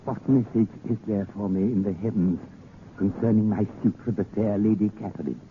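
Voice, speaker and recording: An actor playing a scheming, amused, commanding king in 1930s radio drama, with theatrical, deliberate delivery. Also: lowish voice, natural voice. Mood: impatient, probing. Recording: noisy, tinny 1930s radio recording.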